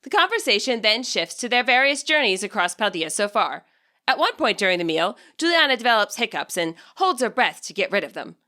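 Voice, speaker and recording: Bright, animated woman narrator. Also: medium pitch. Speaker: audiobook narrator and fan fiction author. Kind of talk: expressive scripted story narration.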